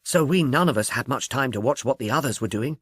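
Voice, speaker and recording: A man's voice: medium pitch, animated, fast talker, fairly bright tone, breathy edge, no room sound. Guy doing a chatty film actress, friendly and frank, bouncy intonation, storybook reading, dry sound. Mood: breezy, thoughtful. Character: agreeable, amiable young film actress, chatty and candid.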